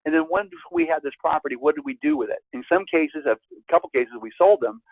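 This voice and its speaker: A man in his fifties presents a real estate course in an emphatic teaching manner, his pitch jumping up on key points. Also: expressive, quick pace, vocal fry, dry recording. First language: Spanish. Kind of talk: webinar presentation. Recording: tinny phone-like line, dry.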